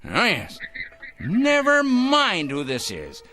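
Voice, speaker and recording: An actor playing a fanatical, self-righteous male vigilante in a radio drama, swinging from hushed murmurs to shrill outbursts. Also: huge pitch swings, takes it slowly, full character voice. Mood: indignant. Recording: faint music score behind.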